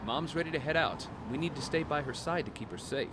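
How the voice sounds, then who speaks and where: An actor playing a calm, protective family father in an audio drama, soothing and steady. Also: on the high side, fast, close to an everyday voice. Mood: affectionate. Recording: noisy city street ambience.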